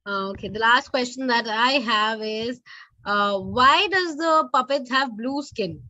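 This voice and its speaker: Female moderator, high and bright, reading questions on a dull lo-fi call. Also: measured pace, no room sound.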